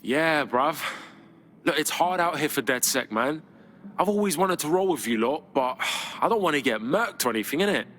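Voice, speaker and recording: Young streetwise Londoner, game character, higher-set voice, casual slang, cocky, faint ambience. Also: male voice, expressive, moderate pace, fairly bright tone, slight background noise. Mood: wary. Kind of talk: video game voice acting.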